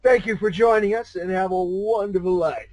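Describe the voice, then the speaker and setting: A man's voice: high voice, steady tone, normal speed, a little mellow, dry recording, low-quality recording. A man chatting casually and jokingly as co-host of a fan fiction reading show.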